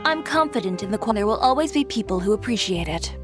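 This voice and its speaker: A woman's voice: medium pitch, lively intonation, fast, music in the background, natural voice. A woman playing a proud, cool young fashion designer in an anime game, self-assured and dryly teasing. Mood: resolute.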